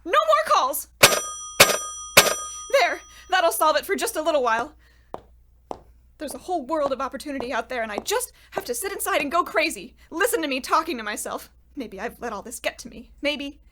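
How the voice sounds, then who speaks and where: Paranoid, jobless radio-comedy heroine, played by a woman: bright high voice, wildly animated, flustered and melodramatic. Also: normal speed, a little hiss or hum. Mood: frantic, exasperated. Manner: theatrical, flustered old-radio exclamations. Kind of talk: comedic old-time radio play acting.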